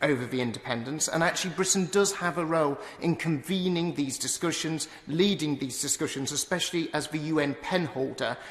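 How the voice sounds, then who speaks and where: A man's voice: on the high side, fairly quick, few pauses, a bit bright. A man rises in a parliamentary chamber to press a point, fluent but peppered with fillers. His voice carries through an echoey hall with a long reverb. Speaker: member of parliament intervening. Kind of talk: parliamentary intervention. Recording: echoey chamber broadcast feed.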